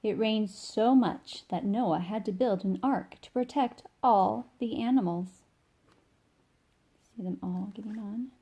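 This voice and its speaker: A woman reading a children's picture book aloud as an informal, expressive storyteller who stretches key words for young listeners. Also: mid-range voice, a little slow, husky. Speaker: read-along storyteller.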